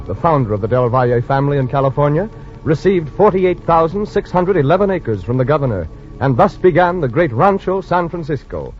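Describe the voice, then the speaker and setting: A man narrating a scripted story in a dramatic 1940s radio style. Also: fairly high pitch. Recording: dull vintage radio recording with music.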